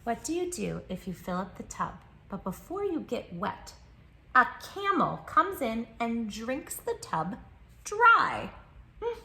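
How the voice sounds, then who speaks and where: A woman reading a picture book aloud to children in an expressive, affectionate, playful way as a storytime host. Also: on the high side, slow, creaky, slight background noise. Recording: roomy echo, faint music behind. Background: faint music.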